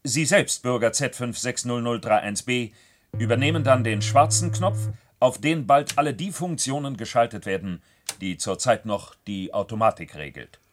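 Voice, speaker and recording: A man's voice: mid-range voice, normal speed, close to an everyday voice. A man playing a stern, officious control official in a 1970s science-fiction radio play, speaking in formal, commanding bureaucratic language. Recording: noisy old radio drama recording.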